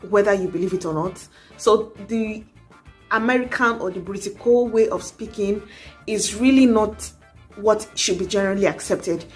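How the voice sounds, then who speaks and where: A young adult woman, talking earnestly to camera in a casual advice vlog, urging and coaxing with many asides. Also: medium pitch. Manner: earnest, exhorting, frequent "you know". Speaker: vlogger.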